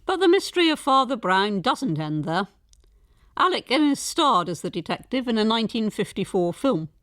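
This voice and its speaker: Lady presenter, scripted narration, measured but melodic, very dry sound. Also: on the high side, sing-song, fairly bright tone, dry recording. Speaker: documentary presenter.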